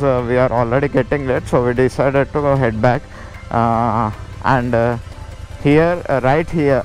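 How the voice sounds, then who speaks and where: This is a man with an Indian accent and a mid-range voice, narrating a casual motovlog. He talks in a halting way, circling back and repeating phrases. Music plays clearly behind him throughout. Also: medium pitch, a little mellow, low-quality recording. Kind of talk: casual travel vlog narration. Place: outdoors on a motorbike road trip.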